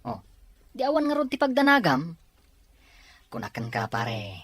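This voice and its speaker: An actor playing an easygoing young buddy, casual, teasing and reassuring, in an Ilocano radio drama. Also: male voice, on the high side, wildly swooping pitch, measured pace, a bit bright, slightly rough, dry recording, heavily acted.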